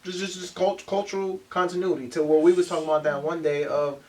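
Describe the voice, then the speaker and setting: A man co-hosting a casual group discussion, talking in halting bursts with many restarts. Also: a little high, steady tone, a little mellow, distant mic, poor audio. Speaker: podcast co-host.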